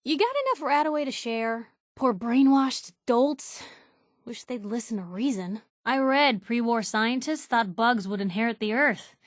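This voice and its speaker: A woman's voice: on the high side, dry recording, studio quality. An actress playing a plucky, wisecracking young woman reporter, a pushy but caring game companion, with a wry, conversational delivery. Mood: uneasy joking. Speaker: video game companion character. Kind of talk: scripted game voice lines.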